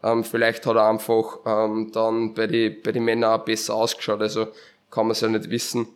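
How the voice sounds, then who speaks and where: A man's voice: on the low side, completely flat delivery, moderate pace. A young man with an Austrian accent, congested from a head cold, chatting casually and a bit hesitantly as a solo podcast host.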